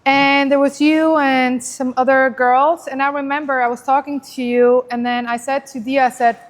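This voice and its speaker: A woman hosting a casual, chatty interview in an animated, informal, expressive way. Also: high voice, moderate pace, long reverb tail. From Switzerland, originally from Iran. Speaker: podcast host interviewing a guest.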